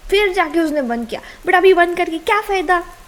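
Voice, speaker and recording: High-voiced Indian girlfriend, scolding but caring, acted by a woman. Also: expressive, normal speed. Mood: worried, exasperated. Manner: pleading and chiding, switching between Hindi and English. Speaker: ASMR roleplay creator. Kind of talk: intimate ASMR girlfriend roleplay.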